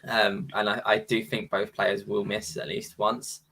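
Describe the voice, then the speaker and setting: A man's voice: on the low side, flat delivery, unhurried, pushed and bright, husky, poor audio. A man co-hosting a casual prediction show, thinking aloud in a hesitant way. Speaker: show co-host. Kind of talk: casual chat about match predictions.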